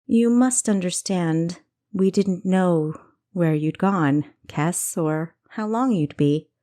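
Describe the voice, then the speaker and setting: Storybook bride played by a female narrator, gentle and placating, measured speech, lowish register. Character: consoling bride, gentle sister explaining things.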